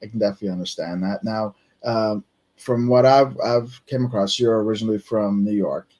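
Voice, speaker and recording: A man's voice: on the low side, steady tone, a little fast, darkish tone. A man from Barbados hosting a radio interview show, chatty and easygoing, asking questions. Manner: easygoing, chatty, laughs along. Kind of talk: casual interview.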